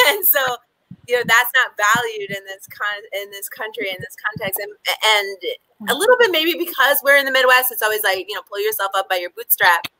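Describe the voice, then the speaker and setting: A young woman on a video call, speaking in a lively way and laughing between phrases. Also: mid-range voice, a little fast, dry recording, rough recording. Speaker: panelist.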